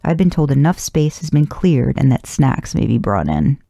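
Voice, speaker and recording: A woman narrates a story in the first person. Her voice sits low and mellow, with a creaky edge to it. The sound is dry, with very little room. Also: sing-song, moderate pace, dry recording. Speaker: podcast host reading fan fiction aloud.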